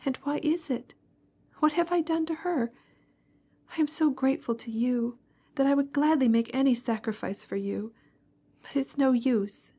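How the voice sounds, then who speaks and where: A woman voicing a tearful, heartbroken young girl who pleads in a breathy, trembling voice. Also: a little high, fairly even intonation, measured pace, long pauses, a little mellow.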